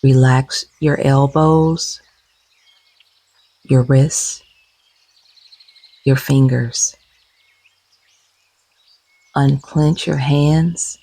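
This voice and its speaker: A woman leading a guided meditation gently and soothingly, with soft, breathy, husky tones and long unhurried pauses. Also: extremely low pitch, steady tone, no room sound.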